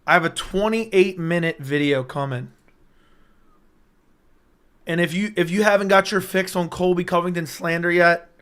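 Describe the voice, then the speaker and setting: Young man, hoarse voice that keeps cutting out, slightly high pitch, clean clear tone, rambling chatty livestream host. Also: a bit bright. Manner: hyped, rambling, talks straight to chat. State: voice strained and cutting in and out. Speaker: MMA YouTuber hosting a livestream. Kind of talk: casual livestream chat reading viewer comments. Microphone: personal streaming mic.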